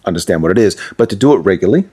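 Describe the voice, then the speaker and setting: Low-voiced Australian man chatting. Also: expressive, rapid-fire, dark tone, slightly rough. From Perth, Australia. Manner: rambling, reflective, anecdotal. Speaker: radio presenter and DJ, interview guest. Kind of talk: casual interview chat.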